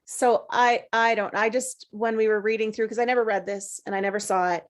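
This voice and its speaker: A woman in casual group conversation, talking in an informal, halting way. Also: mid-range voice, steady tone. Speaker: table read participant.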